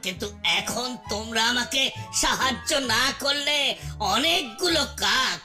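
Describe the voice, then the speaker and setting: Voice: medium pitch, animated, unhurried, natural voice. An actor voicing a flustered, panicky crow leader in a cartoon, pleading, repeating words and cawing between phrases. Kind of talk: cartoon voice acting. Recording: children's cartoon music behind.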